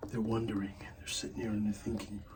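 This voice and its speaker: A man speaking softly in an ASMR roleplay as an art gallery dealer, pausing mid-phrase and often slipping into a whisper. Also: lowish voice, flat delivery, recorded from a distance. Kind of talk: soft-spoken ASMR roleplay, partly whispered.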